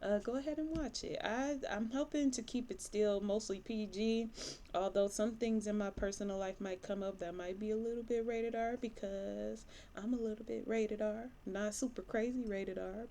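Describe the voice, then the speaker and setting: A woman's voice: mid-range voice, normal speed, dark tone, slight background noise. A woman in her thirties, a therapist from Michigan, talking candidly and casually to camera with plenty of fillers while sniffly from allergies. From Michigan, USA. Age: 30s. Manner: chatty, candid, rambling with fillers. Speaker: licensed therapist.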